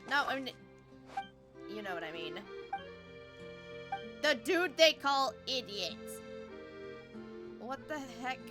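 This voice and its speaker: High, animated woman livestreaming a game. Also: takes it slowly, long pauses. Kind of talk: casual livestream chat while playing. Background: game music.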